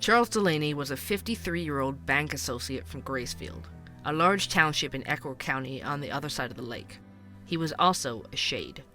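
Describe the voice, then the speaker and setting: A man's voice: a little high, lively intonation, moderate pace, bright, forceful tone, faint music behind. A man playing a candid, wry investigative podcast host in an audio drama, speaking conversationally. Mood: sober, informative. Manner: conversational, wry, hesitant asides. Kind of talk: fictional podcast narration and dialogue.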